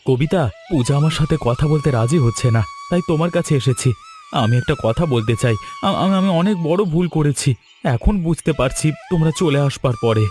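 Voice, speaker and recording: A man acts out a young village husband in a cartoon family drama. His voice sits on the high side for a man, halting and full of remorse as he pleads. Faint music plays behind him. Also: animated, a little mellow, close to an everyday voice. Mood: remorseful, pleading. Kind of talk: Bengali cartoon story dialogue.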